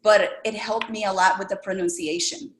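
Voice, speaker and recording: A middle-aged woman whose first language is Spanish, being interviewed and telling her life story in a casual, earnest, reflective way with many pauses. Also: mid-range voice, fairly even intonation. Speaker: interview guest. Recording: roomy echo.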